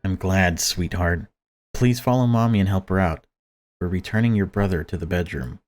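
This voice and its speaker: A man's voice: deep voice, normal speed, a little mellow, lightly acted. A man voicing a protective mother who commands her family in a horror visual novel. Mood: gentle, doting. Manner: stern, commanding.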